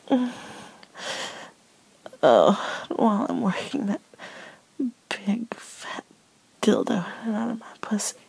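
A woman narrates intimate erotic audio in the first person, mostly in a very breathy whisper. Her delivery is extremely slow and drawn out, broken by moans. A gravelly creak runs through the voice. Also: mid-range voice, poor audio.

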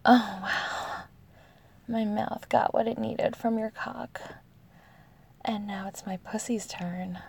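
An amorous, eager female lover, acted by a woman, whispers intimately to the listener in an ASMR audio roleplay. Her breathy voice crackles with fry and moves extremely slowly. Clear noise sits behind her. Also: medium pitch. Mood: breathy, teasing. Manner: slow, intimate, addressing the listener directly.

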